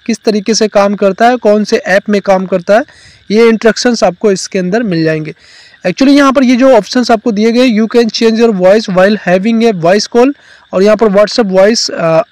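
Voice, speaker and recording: An Indian man hosts a casual tech tutorial in a high-pitched voice. He explains step by step in an instructional way, peppering his speech with English terms. The recording is dry and close, as a voice-over. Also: darkish tone, no echo.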